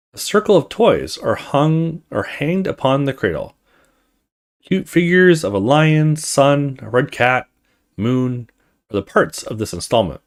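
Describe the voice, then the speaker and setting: A man hosts a let's play, reading a horror story game aloud. His pitch moves around a lot as he tells the story. He mixes scripted narration with casual remarks of his own.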